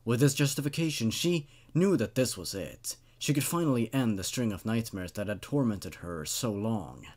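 A man's voice: fairly low pitch, expressive, normal speed, hardly any pauses, a little mellow. A man in his twenties hosting as a reader and reviewer of horror stories, moving between dramatic reading and casual commentary. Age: 20s.

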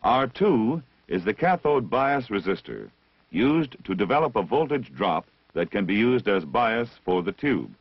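A man reading scripted technical instruction as the narrator of a vintage military training film, measured and deliberate, on a dull old film soundtrack. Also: fairly low pitch, big pitch swings, slightly rough, no echo. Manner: measured, didactic, deliberate enunciation.

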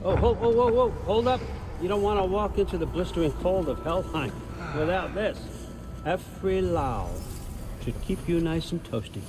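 An actor playing a genial, wry authority figure in a video game, with a folksy, offhand manner. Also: male voice, sits high, normal speed, music in the background, lightly acted. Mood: jovial. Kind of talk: video game cutscene acting. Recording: clear ambient game noise behind.

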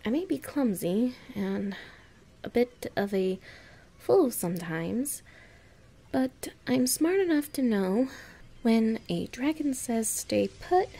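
A woman acting a clumsy, anxious young woman in an audio roleplay, murmuring and thinking aloud in a halting, breathy way. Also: a little high, mellow, full character voice.

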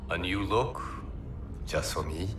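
A man's voice: low voice, slow. An actor playing a sardonic, defiant male warrior in a video game, speaking with dry sarcasm. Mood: sarcastic. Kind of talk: dramatic video game cutscene acting. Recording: echoey, distant, music behind.

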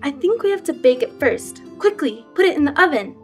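A woman's voice: high voice, big pitch swings, brisk. A middle-aged woman playing an earnest cook in a children's readers' theater piece, reading expressively from a script. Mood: urgent, hopeful. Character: earnest woman cook facing a magical kitchen threat. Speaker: teacher acting with children. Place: indoor stage set with stone-wall backdrop. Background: music.

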